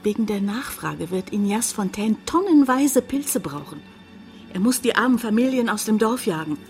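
An actress playing a bitter, pleading village hotel owner in a German radio drama, intense and reproachful. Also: female voice, medium pitch, expressive, normal speed, darkish tone, soft background music, natural voice.

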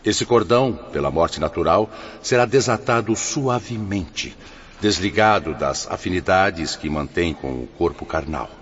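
A Brazilian actor playing a solemn senior spirit mentor in a radio drama, formal and explanatory. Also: male voice, deep voice, lively intonation, unhurried. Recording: dull lo-fi audio with faint noise.

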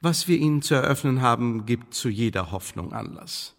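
A suave, menacing spymaster in reverb. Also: male voice, mid-range voice, expressive, vocal fry. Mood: smooth, formal. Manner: measured, officious, veiled threats, ironic politeness. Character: suave, menacing Elizabethan secret service department head. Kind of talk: German radio drama acting. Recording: reverberant hall-like room.

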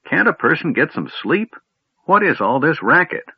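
A man's voice: on the high side, very animated, moderate pace, husky, no echo, voice pushed up, heavily acted. A man voicing a cranky, absent-minded old male wizard in a storybook reading, snapping and fussing. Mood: grumpy. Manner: snappish, loud, fussy. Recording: dry tinny phone-like audio.

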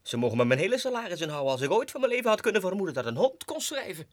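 An actor playing an unhinged, excitable low clerk who mutters haltingly to himself in a 1960s radio monologue. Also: male voice, no echo. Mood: brooding.

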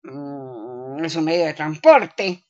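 A woman's voice: sits low, lively intonation, slow pace, lo-fi sound. Woman acting bossy, theatrical older Argentine lady. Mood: dry, firm. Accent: Rioplatense Argentine. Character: tipsy, bossy older woman ogling men online. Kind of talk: comic radio play acting.

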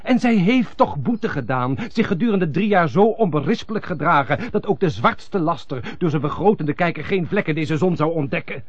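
Earnest persuasive friend in an old radio play, mid husky voice, muffled sound. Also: male voice, on the high side, lively intonation, moderate pace, hardly any pauses, no room sound. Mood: impassioned pleading. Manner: formal, rhetorical, earnest. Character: earnest, persuasive male friend, loyal and impassioned go-between. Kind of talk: classic European radio drama acting. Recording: dull lo-fi old radio recording.

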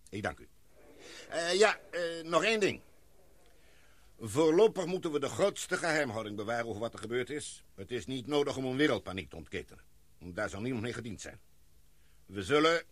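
An actor playing a measured, authoritative male expedition leader in a radio play. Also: mid-range voice, fairly bright tone, no echo. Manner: measured, deliberate, commanding.

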